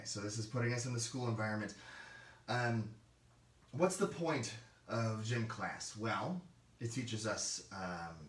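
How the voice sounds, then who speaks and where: A hoarse man hosting a casual livestream for a game store, rambling with many fillers and restarts. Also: medium pitch, a bit bright, distant mic. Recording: roomy, mic set back.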